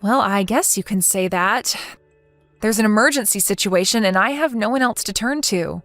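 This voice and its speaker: A woman playing a wronged mother in her forties in a dramatized story, earnest and aggrieved but measured. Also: medium pitch, fairly bright tone, no echo, soft background music, close to an everyday voice. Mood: worried, polite. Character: wronged mother over forty, indignant yet polite.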